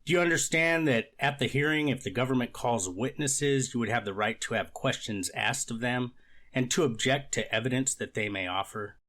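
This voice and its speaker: A man's voice: medium pitch, normal speed, fairly bright tone, lightly acted. A man playing a formal, methodical court official in a scripted readers theater courtroom drama. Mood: procedural, authoritative. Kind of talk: amateur readers theater, scripted legal reading.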